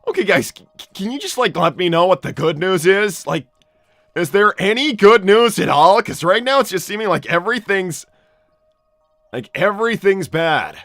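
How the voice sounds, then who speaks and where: A man's voice: high voice, big pitch swings, normal speed, fairly bright tone, no room sound, soft background music, pitched above the performer's own voice, exaggerated voice. A man voicing an anxious, exasperated young cartoon hedgehog hero in an improvised livestream, stammering and repeating himself as he talks. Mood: exasperated, pleading. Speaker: live improv role-play host. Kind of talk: improvised in-character livestream, reading viewer messages.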